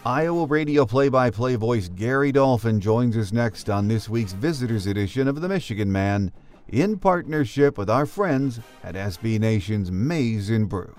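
A man's voice: mid-range voice, lively intonation, moderate pace, a little mellow, soft background music. A man hosting a sports podcast, delivering scripted commentary as the show's presenter. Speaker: podcast host.

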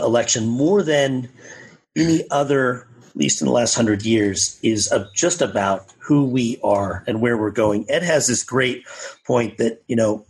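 A man co-hosts with a husky, slightly rough voice. He speaks in a measured, reflective way, laying out his analogy step by step. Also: mid-range voice, low-quality recording. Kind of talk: measured conversational commentary.

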